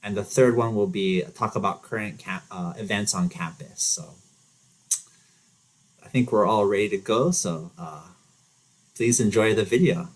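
A middle-aged man hosting an online event over a video call, relaxed and full of fillers and restarts. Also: lowish voice, normal speed, long pauses, slightly rough, poor audio. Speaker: event host. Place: home, virtual background.

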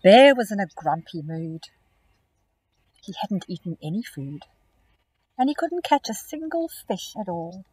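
Middle-aged woman, teacher reading to kids; breathy, animated storyteller, phone camera outdoors. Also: mid-range voice, measured pace, pauses often, poor audio. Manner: expressive, storytelling. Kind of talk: reading a picture book aloud to children. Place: outdoors in a grassy field.

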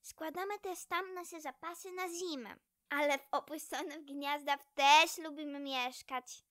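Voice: sits high, steady tone, a little slow, a bit bright, no echo, clean studio sound. A young performer voicing a small, wary, proud female red squirrel, pert and childlike, in a children's story. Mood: chatty.

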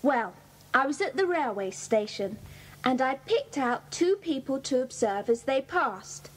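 A woman's voice: sits high, very animated, a little slow, breathy edge, full character voice, low-quality recording. A woman with a British accent playing a keen, observant schoolgirl in a children's audio drama, describing things precisely.

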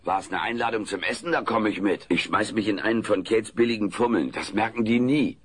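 A man's voice: on the low side, a little fast, a bit bright, lightly acted. A man playing a brash, sarcastic, wisecracking furry alien houseguest in a comedy audio play. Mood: cheeky. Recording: noisy old cassette recording.

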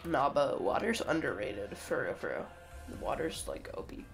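A young man with a croaky, gravelly voice chats loosely while he plays, full of slang and the odd swear. His pitch swings around a lot. Loud game music plays clearly behind him. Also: big pitch swings, normal speed. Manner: laid-back slangy chatter, swearing. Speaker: game streamer. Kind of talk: casual gameplay commentary.

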